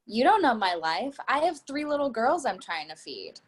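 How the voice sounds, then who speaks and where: A woman's voice: fairly high pitch, big pitch swings, normal speed, slightly rough. A woman playing a middle-aged homeless beggar in a play reading, pleading in a sympathetic but rehearsed way. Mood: desperate.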